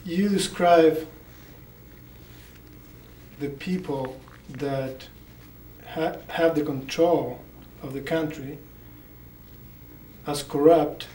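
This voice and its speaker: A man's voice: a little high, fairly even intonation, very slow, pauses often, warm tone. A man from Chile asking questions at a panel discussion, hesitant and searching for words. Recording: echoey room, far from the mic, faint noise.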